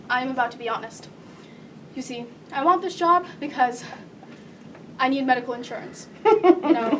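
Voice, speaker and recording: A woman performing a stage monologue as a nervous, rambling thirty-year-old job applicant who keeps correcting herself. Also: sits high. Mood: anxious, rambling. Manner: rambling, nervous, self-correcting with awkward laughter. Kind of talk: live theatrical comic monologue. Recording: distant stage mic with background noise.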